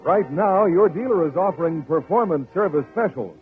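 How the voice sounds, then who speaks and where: Old radio ad guy, high voice, swoops around, emphatic, tinny with light music. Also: lively intonation, normal speed. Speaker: commercial announcer. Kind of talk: 1950s radio advertising pitch.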